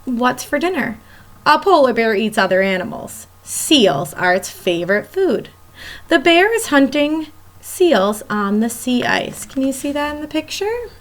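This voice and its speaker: A woman's voice: high voice, big pitch swings, a little slow. A woman teacher reading a nonfiction children's book aloud, talking straight to young listeners and explaining things simply. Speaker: elementary school teacher.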